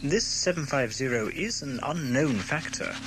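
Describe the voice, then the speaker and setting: An actor playing a cool, calculating government minister in an audio drama, speaking with measured, sinister authority. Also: male voice, medium pitch, lively intonation, natural voice. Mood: scheming. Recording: clear noise behind the voice.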